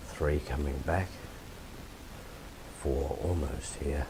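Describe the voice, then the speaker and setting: Very deep, gravelly Australian male hypnotist. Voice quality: deep, softly spoken. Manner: soothing, measured, drawn-out phrasing with pauses. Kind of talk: guided hypnosis session, ASMR. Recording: noisy, loud constant background hiss.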